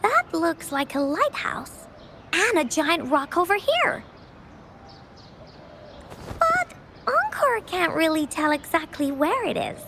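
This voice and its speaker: A woman's voice: very high-pitched, very animated, takes it slowly, pauses often, background noise, heavily acted. A woman voicing a cheerful, childlike girl who talks about herself in the third person, in a video game. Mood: curious. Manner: bubbly, refers to herself by name. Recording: game audio with music behind.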